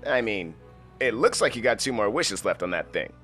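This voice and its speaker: A man's voice: fairly low pitch, expressive, normal speed, fairly bright tone, soft background music. An actor playing a guileless, earnest young wannabe businessman in a comedy radio play. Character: naive, eager young bearded wannabe businessman.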